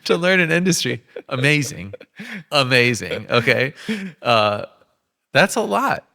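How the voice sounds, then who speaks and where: A man hosting an interview, asking rambling questions with enthusiasm and quick laughs. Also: mid-range voice, sing-song, creaky, sharp tone, no room sound, studio quality. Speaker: podcast host.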